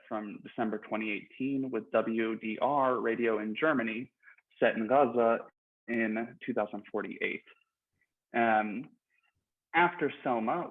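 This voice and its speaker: A man introduces guests at an online event in a formal, polite register. His delivery is measured and orderly. The sound is thin and narrow, like a phone line. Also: mid-range voice. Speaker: host introducing speakers at an online panel. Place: online video call.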